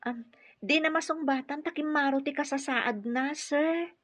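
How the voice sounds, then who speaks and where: A young nurse in an Ilocano radio drama. Her voice is very high and bright, recorded dry. She is courteous and deferential toward those above her. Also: unhurried, no echo. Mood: polite, evasive. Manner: respectful, addressing superiors as sir. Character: young female nurse caregiver, polite and deferential to her employer.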